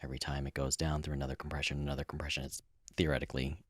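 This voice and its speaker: Deep-voiced man co-hosting a podcast. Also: monotone, very fast, husky, no room sound, studio quality. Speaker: podcast co-host. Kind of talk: casual co-host chat. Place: home room padded with blankets and rugs.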